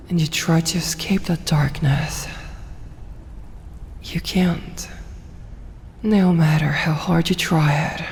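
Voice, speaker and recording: Woman acting a slow, whispering, seductive vampire woman. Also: deep voice, darkish tone, full character voice. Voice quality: breathy, whispered. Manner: slow, coaxing, commanding yet doting. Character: possessive, seductive vampire woman mesmerizing her prey. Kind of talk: ASMR roleplay, hypnotic whispering. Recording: long hall reverb, faint hiss and ambience.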